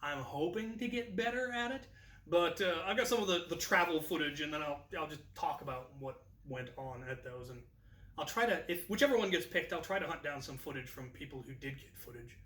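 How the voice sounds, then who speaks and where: An American man in his thirties telling a casual anecdote as a video host, in an animated manner. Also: a little high, fairly quick. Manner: animated, acts out quoted lines. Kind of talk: casual anecdotal storytelling to camera. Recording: echoey room, distant microphone.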